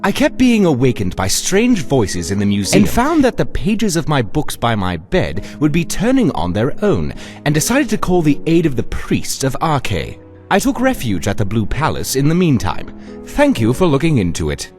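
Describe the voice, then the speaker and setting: Very animated, genteel museum curator. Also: male voice, medium pitch, normal speed, faint music behind. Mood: genteel, relieved. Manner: genteel, formal, chatty. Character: polite, fussy scholarly male museum curator. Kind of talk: fantasy game dialogue.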